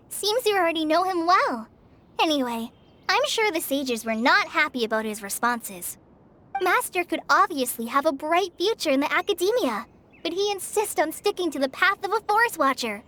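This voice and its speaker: Bright, girlish, earnest young ranger trainee. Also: extremely high pitch, sing-song, moderate pace, heavily acted. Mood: chatty, admiring. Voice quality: light, girlish voice. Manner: hesitant, polite, earnest. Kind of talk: video game voice acting.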